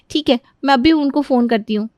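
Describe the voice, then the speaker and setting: Woman acting a cartoon young bride, very high voice, earnest, pleading, expressive, eager, dry studio sound. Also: measured pace, dry recording. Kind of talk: Hindi cartoon story voice acting.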